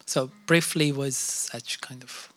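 A panel speaker who sets out ideas in a halting, thoughtful way, with many pauses and restarts. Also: mid-range voice, fairly even intonation, loud music behind the voice. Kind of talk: reflective discussion.

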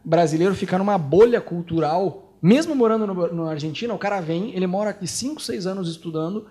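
A Brazilian man with a Brazilian Portuguese accent hosts a missionary talk show, making his points with emphasis and frequent laughter. His voice sits high and his words come out quickly. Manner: animated, emphatic, laughs often. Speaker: missionary host.

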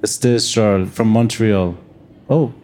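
A man's voice: on the low side, a little slow, noisy background. A man hosting a live talk in a bookshop, easygoing and joking with the audience. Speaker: host.

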